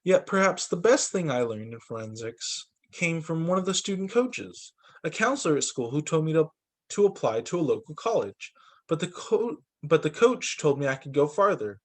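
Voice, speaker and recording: A man reading a children's storybook aloud as a teacher, with expressive narration and acted-out dialogue. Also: on the high side, normal speed. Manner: animated, acts out dialogue for children.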